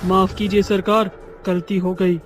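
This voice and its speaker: Man playing a high-pitched scheming witch minion. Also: flat delivery, a little slow, soft background music. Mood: apologetic, eager. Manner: deferential to the boss. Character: scheming, obsequious female witch henchwoman.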